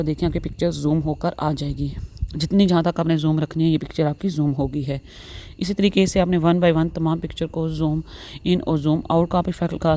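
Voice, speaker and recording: A woman's voice: deep voice, dark tone. A woman narrating a step-by-step tutorial, explaining instructionally and repeating herself. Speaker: tutorial host. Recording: faint background noise.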